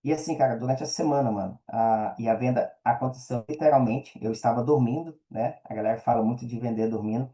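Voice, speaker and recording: A man's voice: mid-range voice, a little fast, few pauses, dark tone. A man with a Brazilian accent, a podcast guest telling his own story casually in slangy, colloquial speech full of tag questions.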